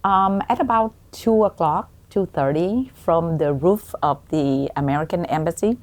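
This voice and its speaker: Low-voiced middle-aged woman, Vietnamese accent. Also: a little mellow. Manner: halting, with many pauses. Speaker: guest, doctor. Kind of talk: personal storytelling in a podcast interview.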